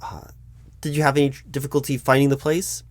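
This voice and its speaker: A man playing a nervous, polite recruiting manager in a job interview roleplay, speaking aloud in a halting, stammering, apologetic way. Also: mid-range voice, level tone, no echo, close to an everyday voice. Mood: polite, businesslike. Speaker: roleplay performer. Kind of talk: one-sided job interview audio roleplay, spoken aloud.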